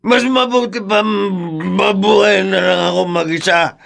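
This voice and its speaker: A man's voice: sits high, normal speed, vocal fry, a bit bright, heavily acted. A man acting in a Filipino radio drama as a bitter, hot-tempered, middle-aged drunkard father who has been in prison. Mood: drunken rage. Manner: anguished, emphatic outbursts.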